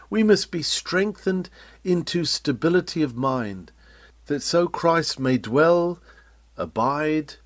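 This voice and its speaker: Higher-pitched man reading a solemn sermon. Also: a little mellow, dry recording. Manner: earnest, exhorting, solemn preaching delivery. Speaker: reader of historic sermons. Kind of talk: read-aloud 19th-century sermon, oratorical.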